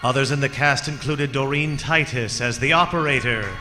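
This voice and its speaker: A man's voice: mid-range voice, moderate pace, close to an everyday voice. A man playing a solemn, mysterious old-time radio suspense narrator, announcing the closing credits in a reverberant space. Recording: long reverb, loud music, crowd noise.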